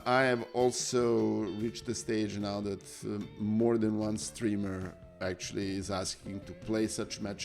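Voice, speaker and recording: Slow raspy-voiced man streaming chess. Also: on the low side, darkish tone. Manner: halting, many fillers and restarts. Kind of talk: casual live-stream commentary.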